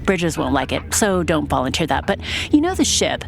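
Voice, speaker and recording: A woman's voice: deep voice, very animated, normal speed, vocal fry, heavily acted. A woman acting a shrewd, guarded police sergeant in a science fiction audio drama. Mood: shrewd, bargaining.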